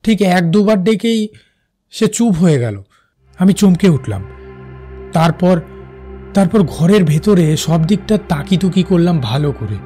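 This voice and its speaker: A man playing an uneasy night-shift railway worker, narrating his own horror story with suspense in an animated cartoon. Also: high-pitched, measured pace, long pauses, slightly rough, soft background music, heavily acted. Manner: suspenseful, with fearful repeated phrases. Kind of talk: first-person dramatic horror story narration.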